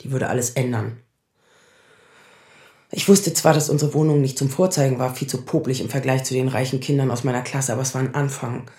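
A woman's voice: extremely low pitch, a little fast, warm tone. In a roomy, echoing space, a woman in her thirties with a sardonic, defensive edge. She confides like a patient in therapy, in the natural style of a radio drama. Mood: wry, confessional. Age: 30s. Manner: sardonic, confessional.

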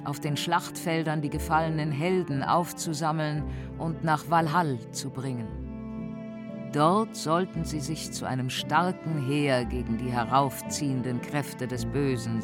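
Slow, solemn god narrating. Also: male voice, on the high side. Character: solemn god recounting his past as narrator. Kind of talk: scripted dramatic narration. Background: cello music.

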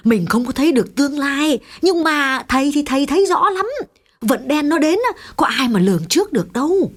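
A man voicing a gushing woman friend who praises the master in an effusive, exclamatory falsetto. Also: lively intonation, fairly quick.

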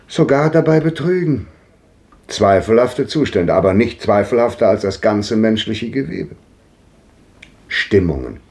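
A man's voice: mid-range voice, a little mellow. An actor playing an old man who muses philosophically in a ponderous, declamatory way, in a 1950s radio drama.

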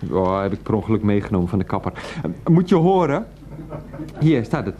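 An actor playing an evasive, petty, defensive husband in a Dutch radio play. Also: male voice, lowish voice, big pitch swings, a little fast, mellow, lo-fi sound, slight background noise. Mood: exasperated.

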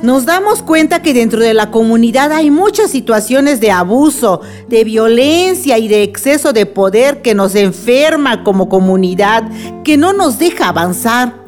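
Radio drama role: actress as resolute defender of the land, female, deliberate delivery, raised pitch, light music bed. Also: animated, darkish tone, natural voice. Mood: firm, solemn. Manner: firm, deliberate oratory. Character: Doña Juana, resolute village woman, guardian of land. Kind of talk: radio drama acting, assembly speech.

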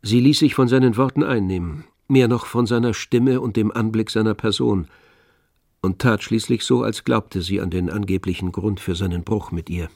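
A man narrating a literary radio drama in a measured storytelling manner. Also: lowish voice, creaky, darkish tone, dry recording. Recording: dry studio, music behind.

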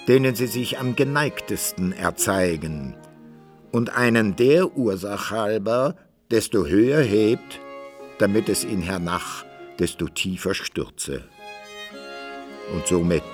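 A man as the narrator of a radio drama, telling an old adventure tale in a measured literary way with deliberate pauses. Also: fairly low pitch. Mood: wry, foreboding.

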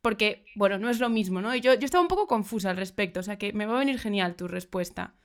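A woman's voice: medium pitch, animated. Friendly Galician woman hosting a podcast. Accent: Galician Spanish. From Galicia, Spain. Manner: friendly, with quick laughs. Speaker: podcast host.

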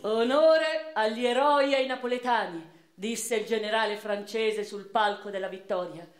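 A woman's voice: on the high side, faint music behind, lightly acted. Actress as an impassioned woman revolutionary, roomy sound. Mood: proclaiming. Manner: declamatory, literary, with deliberate pauses and emphatic swells. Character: impassioned, cultured woman revolutionary and newspaper writer of 1799 Naples. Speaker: stage and radio actress. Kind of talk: dramatic first-person theatrical monologue. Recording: roomy, reverberant theatrical recording.

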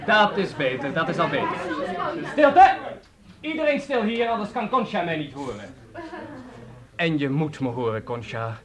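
A dashing young charmer in a radio drama. He is theatrical and impulsive, his delivery sweeping widely in pitch, with a lively crowd behind him. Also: normal speed, pauses often. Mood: boisterous, commanding. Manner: theatrical, impulsive, smooth-talking. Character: flamboyant, romantic young charmer with a guitar. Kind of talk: Dutch radio play acting.

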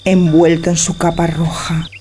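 A woman's voice: on the low side, little pitch movement, a little slow, dark tone, slight background noise, natural voice. A woman playing a passionate young wife in a theatrical Spanish radio tragicomedy, speaking in lyrical, poetic declamation. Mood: ardent, dreamy.

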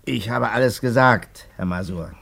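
A man's voice: mid-range voice, normal speed, gravelly, a bit bright. An actor playing an evasive small-time man with a wry storytelling manner in a radio drama. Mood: defensive. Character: wooden-legged small-time man, evasive under questioning. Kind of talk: German radio drama acting.

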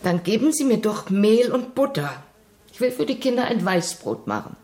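A woman's voice: fairly high pitch, big pitch swings, normal speed, a little mellow, slight background noise. An actress playing a blunt, cheeky maidservant who banters familiarly with her master in a radio play. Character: outspoken, cheeky maidservant of a miser. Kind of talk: German radio play acting.

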